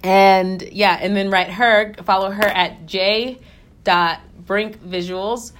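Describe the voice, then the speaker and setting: A woman's voice: mid-range voice, fairly even intonation, takes it slowly, slight background noise. A woman hosting a podcast, chatting casually with a friend and laughing often. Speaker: podcast host. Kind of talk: casual chat. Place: Dallas area.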